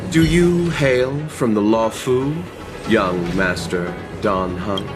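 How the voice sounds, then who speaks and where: An actor playing a courteous, composed wandering merchant in a video game, speaking formally and politely. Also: male voice, lowish voice, slow, over music.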